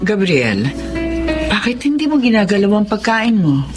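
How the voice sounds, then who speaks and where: A woman's voice: medium pitch, big pitch swings, normal speed, close to an everyday voice. Worried Filipino mother over faint music acted. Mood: concerned. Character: gentle, worried middle-aged mother keeping a secret. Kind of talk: Filipino radio drama acting.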